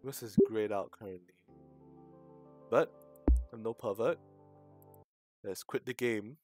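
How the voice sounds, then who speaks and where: A man live streaming a game, chatting casually and haltingly, muttering to himself and to his chat. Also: on the low side, darkish tone, faint music behind.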